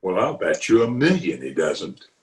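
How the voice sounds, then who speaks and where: A man's voice: lowish voice, very animated, normal speed. A man playing a blustering, boastful middle-aged town official in an old-time radio comedy, theatrical in manner. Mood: scornful, boastful. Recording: roomy video-call recording.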